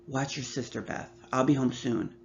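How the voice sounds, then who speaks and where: Weary mother, gentle and slow, read by a woman, quiet delivery. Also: a bass voice, steady tone, creaky. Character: tired gentle mother of two girls. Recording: slightly muffled with faint hum.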